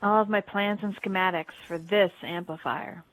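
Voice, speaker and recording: Woman playing an earnest young alien engineer, medium-pitched. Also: fairly even intonation, moderate pace, slight background noise, close to an everyday voice, rough recording. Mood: frustrated, earnest. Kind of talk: fan audio drama, game-story character acting.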